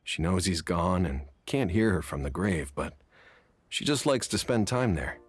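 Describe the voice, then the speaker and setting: Low-voiced, wistful townsman. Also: sing-song, measured pace, husky, exaggerated voice. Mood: pitying. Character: sympathetic, plain-spoken townsman, gloomy gossip. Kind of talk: video game character acting. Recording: music behind the voice.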